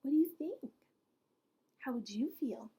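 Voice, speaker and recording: A woman reading a picture book aloud as a preschool teacher, expressive and pausing to put questions to young listeners. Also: high-pitched, husky. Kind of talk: expressive children's storytime read-aloud.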